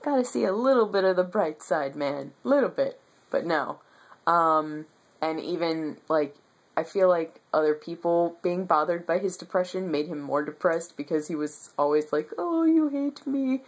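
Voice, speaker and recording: A woman's voice: fairly low pitch, expressive, moderate pace. A young woman with a stuffy nose and a tired voice chats about books on her webcam, rambling with lots of ums. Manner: rambling and enthusiastic, many ums. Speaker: book vlogger. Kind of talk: casual monthly reading wrap-up. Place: bedroom with bookshelves, indoors.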